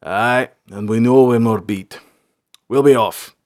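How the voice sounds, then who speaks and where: A man's voice: medium pitch, slow, a little mellow, no echo, clean studio sound, natural voice. A man voicing a blunt, sardonic sergeant of a band of fantasy soldiers, talking in colloquial speech. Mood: resigned. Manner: blunt, colloquial, dryly sarcastic.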